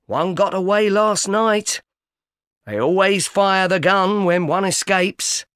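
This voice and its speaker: A man's voice: high voice, slow pace, a bit bright, smooth, no room sound, studio quality, pitched above the performer's own voice. A man voicing a kindly blacksmith who explains things plainly and mildly, in a storybook reading. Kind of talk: audiobook character voice.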